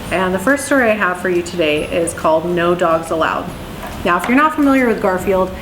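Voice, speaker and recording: A woman reading children's stories aloud in an expressive storytelling way. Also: fairly low pitch. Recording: roomy echo, faint background noise.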